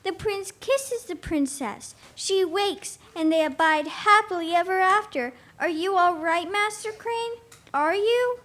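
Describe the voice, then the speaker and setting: A very high, bright female voice acting a gentle young maiden in a radio play. The delivery is slow and coaxing, like storybook reading. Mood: soothing, coaxing.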